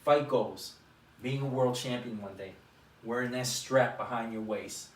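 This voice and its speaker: A man hosts a casual boxing show, setting up his questions piece by piece in short phrases. His voice is mid-pitched and comes from a little distance in a strongly echoing room. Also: unhurried, a little hiss or hum. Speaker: boxing show host and interviewer. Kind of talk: casual sports interview. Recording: echoey room, distant mic.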